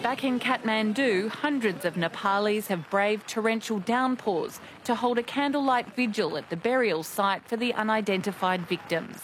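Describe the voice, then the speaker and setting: A woman reporter narrating a news story with clear, steady delivery, asking a question of an interviewee. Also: medium pitch, normal speed, few pauses, slight background noise.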